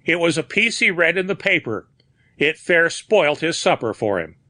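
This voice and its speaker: A man putting on an old, gaunt sea captain, gruff and grieving, his voice pitched well up from a plain reading voice and pushed a little louder. He speaks in old-fashioned sailor's turns of phrase, with a bright, forward tone in a dry, close sound. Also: very animated, moderate pace, no room sound. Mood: grieving, guarded. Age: older.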